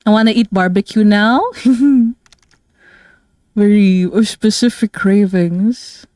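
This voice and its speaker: A woman's voice: mid-range voice, takes it slowly, a little mellow, no echo, clean studio sound. A woman from the Philippines chatting casually on a livestream, rambling and giggling with lots of fillers. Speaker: streamer and artist.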